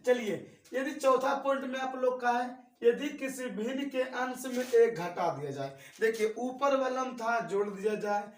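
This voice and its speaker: High-voiced young male math teacher. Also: unhurried, roomy sound, recorded from a distance. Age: 20s. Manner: repeats each step and asks students questions. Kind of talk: classroom-style lesson.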